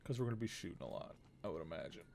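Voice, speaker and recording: A man doing casual video game commentary as a streamer, thinking aloud in short, low-key mumbled phrases. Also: expressive, racing, creaky, a little mellow, slight background noise. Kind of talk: casual gameplay commentary, reading card text aloud. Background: game music.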